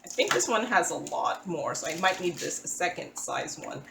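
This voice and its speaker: A woman's voice: fairly low pitch, animated, normal speed, gravelly, background noise. Chatty woman in a roomy room. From Philippines. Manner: chatty, self-deprecating, with small laughs and restarts. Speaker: crafting channel host. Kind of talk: casual voice-over chat while working on a craft. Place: indoors at a table.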